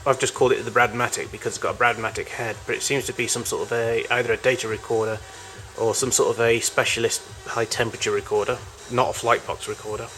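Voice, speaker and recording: A man giving an informal, unscripted show-and-tell as a collector of old machines, in a halting, rambling way with frequent self-corrections. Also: mid-range voice, flat delivery, fairly bright tone, faint music behind. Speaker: collector showing vintage tape recorders.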